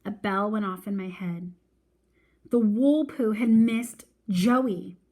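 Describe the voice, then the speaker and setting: A woman with a mid-pitched voice narrates a story as a read-aloud. Her reading is expressive and follows the text closely. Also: takes it slowly. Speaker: reader of a weekly read-aloud.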